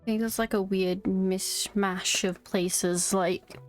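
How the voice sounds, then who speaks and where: A woman streams a game and comments casually as she plays. Her mid-pitched voice moves at an unhurried pace, with soft music in the background. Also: steady tone. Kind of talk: casual live gameplay commentary.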